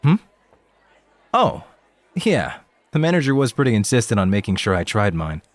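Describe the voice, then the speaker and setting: A man's voice: medium pitch, dry recording, natural voice. A man acting in an ASMR roleplay as a laid-back rookie club host, casual, hesitant and a little awkward.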